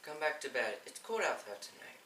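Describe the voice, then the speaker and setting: A woman's voice: deep voice, sing-song, fairly quick, fairly bright tone. A young woman in an amateur stage play acting a cautious, skeptical parent, shaken and reluctant. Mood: reluctant, dismayed. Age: young adult. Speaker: student actor. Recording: noisy echoey room from far off.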